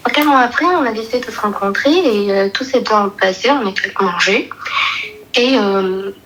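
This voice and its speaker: A woman's voice: mid-range voice, moderate pace, fairly bright tone, poor audio. A woman in her twenties calling in for advice, telling a personal story hesitantly and searching for her words. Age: 20s. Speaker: caller seeking advice. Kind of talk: personal story told in casual conversation.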